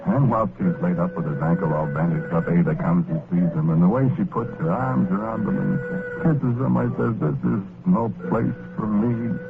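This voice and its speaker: A man's voice: low-pitched, normal speed, gravelly, few pauses. An actor with an American accent playing a well-meaning, exasperated working-class ghost who tells his story in slangy 1940s radio drama style. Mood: wry storytelling. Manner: colloquial, folksy slangy storytelling. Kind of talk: 1940s radio horror-comedy acting, first-person narration. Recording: scratchy, noisy, narrow-band old radio recording.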